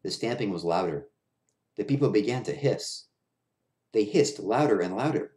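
A man's voice: on the low side, lively intonation, normal speed, dark tone. A man in his thirties reading a children's story aloud in a small room at home. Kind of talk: children's picture-book read-aloud. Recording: roomy echo of a bare room.

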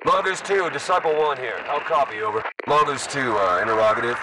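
Brisk field soldier over very noisy radio. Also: male voice, mid-range voice. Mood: brisk, alert. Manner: clipped, procedural. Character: brisk, no-nonsense male field soldier in a shooter game. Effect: radio filter. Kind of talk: game voice acting, military radio chatter. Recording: very noisy radio, distant from mic.

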